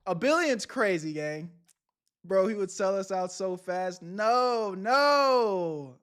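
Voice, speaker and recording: A young man streaming live, chatting casually with his viewers in loud, laughing, slang-heavy banter. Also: high-pitched, expressive, slow pace, smooth. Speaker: streamer reacting to videos.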